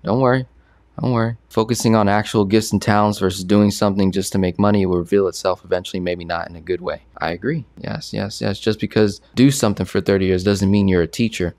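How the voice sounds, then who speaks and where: A young man giving casual, energetic talking-head commentary on money into a studio boom mic, repeating himself and gesturing a lot. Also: quick pace, no echo. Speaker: personal finance creator. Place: small bright studio. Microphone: boom arm studio mic.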